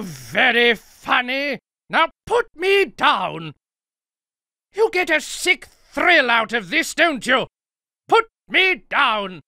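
A man's voice: very high-pitched, pushed and bright, dry recording, studio quality, exaggerated voice. A man voicing a fussy, indignant middle-aged civilian for improvised video game lines, prim, whiny and pleading. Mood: exasperated.

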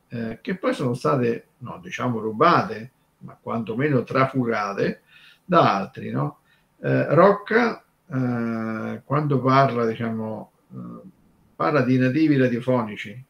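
A man co-hosting an unscripted radio conversation, talking in a halting, discursive way full of filler tags. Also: mid-range voice, gravelly, dull audio. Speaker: radio show co-host.

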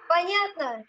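A child acting a gruff, greedy, bullying puppet-theatre master in an amateur audio play. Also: very high voice, pushed and bright, exaggerated voice. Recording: dull, noisy home recording.

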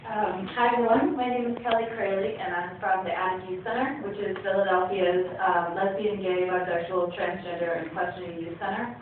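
A woman's voice: medium pitch, steady tone, a little hiss or hum. Thoughtful woman on a panel, echoey hall. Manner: thoughtful, measured, frequent ums. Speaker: youth center panelist. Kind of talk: panel talk. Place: screening room panel, large hall. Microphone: handheld mic, recorded from far in the audience. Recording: echoey hall, far off, tinny.

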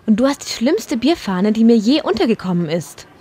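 An actress playing a picky, haughty, dreamy young princess in a comic radio play. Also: female voice, a little high, very animated, brisk, dark tone, husky. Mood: disdainful.

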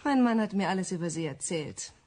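An actress playing a crook's blasé, chatty wife in a radio play, with a dry, offhand manner. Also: female voice, lowish voice, expressive, a little fast, mellow, a little hiss or hum, natural voice.

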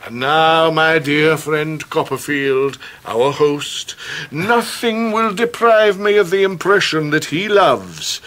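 An actor playing a pompous, theatrical English gentleman in debt, speaking in florid, orotund phrases in a radio drama. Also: on the high side, animated, slow. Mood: effusive. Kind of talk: 1990s British radio drama acting.

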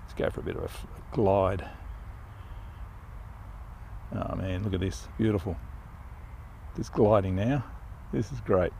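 Gravelly older man narrating his flight. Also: sits low, measured pace, pauses often, a little hiss or hum. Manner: relaxed, enthusiastic, thinking aloud while flying. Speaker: RC pilot reviewing a plane. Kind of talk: casual live flight commentary. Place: open grassy field outdoors.

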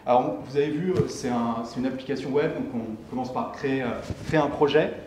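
A young man speaks at a tech meetup in a mid-range voice. He explains step by step and haltingly, often putting a question and then answering it. The room is clearly echoey, with audible noise behind him. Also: medium pitch, a little mellow. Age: young adult. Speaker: tech meetup speaker presenting his company's software. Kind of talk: technical conference presentation. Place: indoor lecture room, at a lectern.

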